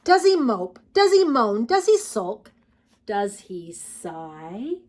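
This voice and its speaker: A woman hosting a children's storytime, reading picture books aloud in an expressive, sing-song way and talking directly to young listeners. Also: fairly high pitch, slow pace, background noise.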